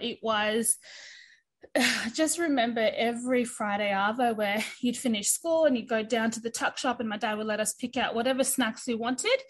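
A woman, talking casually as an interview guest, chatty with plenty of fillers. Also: on the high side. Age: 30s. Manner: chatty, many fillers, laughs easily.

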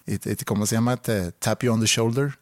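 A man's voice: lowish voice, steady tone, a little fast, dry recording, clean studio sound. A man with a slight Monterrey accent, chatting hesitantly on a podcast and often repeating words. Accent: Monterrey Spanish with a foreign touch. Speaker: podcast co-host. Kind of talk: casual podcast chat.

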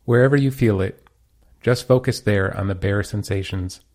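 Man, meditation guide, fairly deep pitch, dark relaxed tone, soothing instructional delivery. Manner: calm, soothing, unhurried instructions. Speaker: meditation teacher.

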